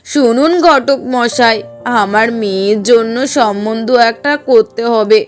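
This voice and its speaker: A woman's voice: fairly high pitch, unhurried, soft background music, close to an everyday voice. A woman voicing a fair-minded, fretful middle-aged mother-in-law in a cartoon, earnest and admonishing. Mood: worried resolve. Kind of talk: Bengali cartoon voice acting.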